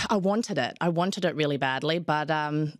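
A woman's voice: low-pitched, measured pace, a bit bright, dry recording. A woman in her thirties with a New Zealand accent, a charity head speaking earnestly and with animation as a guest in a live TV studio interview. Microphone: desk microphone on a boom arm.

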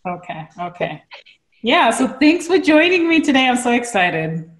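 A woman's voice: on the high side, big pitch swings, moderate pace. A middle-aged woman hosts a casual podcast interview from a room at home. She asks her questions in a friendly, encouraging way, with hesitant fillers, and her voice comes through the webcam mic of a video call. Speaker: podcast host.

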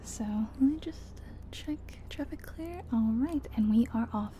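A soft-spoken woman doing an ASMR roleplay as a friendly, chatty taxi driver, in a gentle, whispery delivery. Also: high-pitched, animated, unhurried. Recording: faint background noise of game car sounds. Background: car sounds from a driving game.

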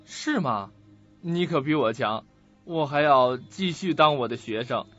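Actor as a friendly, husky male student. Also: mid-range voice, lively intonation, measured pace, bright, forceful tone, soft background music. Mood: awkward, friendly. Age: young adult. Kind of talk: Chinese radio drama acting. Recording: dull lo-fi recording.

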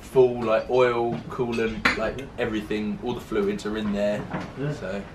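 A man's voice: lowish voice, level tone, unhurried. A young man with a British accent chatting casually as a car vlogger on a handheld camera. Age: young adult. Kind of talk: casual vlog chat about working on a car. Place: garage and driveway. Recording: noisy handheld camera audio.

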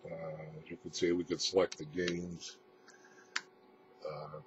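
A deep-voiced man walks through equipment in a casual, unscripted demo. He explains things in a halting, matter-of-fact manner. The camera audio is dull and lo-fi, with clear background noise. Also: little pitch movement. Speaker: presenter demonstrating homemade radio equipment. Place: indoors, small room with radio gear.

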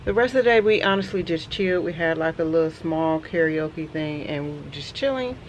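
A woman's voice: deep voice, fairly quick, highs cut off. Chatty woman on a noisy porch. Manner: relaxed, rambling, frequent fillers. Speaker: vlogger. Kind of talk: casual chat to camera. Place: outdoor porch of a house. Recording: outdoor camera audio with clear background noise.

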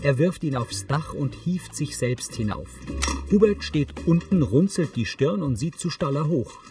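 A man's voice: on the high side, lively intonation, a little slow, far from the mic, music in the background. A man reading scripted audio description, narrating on-screen action in the present tense.

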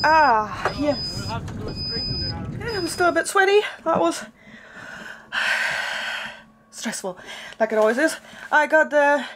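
Chatty high-voiced woman vlogging. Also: big pitch swings, takes it slowly, long pauses, noisy background. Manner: chatty, laughs often. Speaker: sailing vlog host. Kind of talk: casual vlog narration. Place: outdoor market and sailboat. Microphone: camera at arm's length.

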